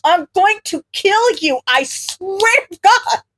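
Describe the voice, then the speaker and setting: A woman's voice: extremely high pitch, animated, slow, a bit bright, voice pushed up, heavily acted. A woman voicing an easily flustered, apologetic man in a story, earnest and given to exclaiming and cursing. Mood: flustered, exasperated.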